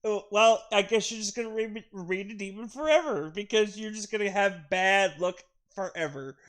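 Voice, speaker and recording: A woman's voice: medium pitch, moderate pace. A woman chatting casually and jokily while playing and voicing a video game with a friend. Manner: swears casually, jokey. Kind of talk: casual gaming chat and amateur voice-over. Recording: game music behind the voice. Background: video game music.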